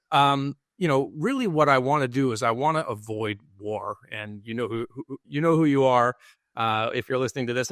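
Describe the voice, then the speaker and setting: A man's voice: mid-range voice, a bit bright, no echo, studio quality. A man co-hosting a podcast, asking long, thoughtful questions with a rambling setup. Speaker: podcast co-host. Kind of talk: conversational interviewing.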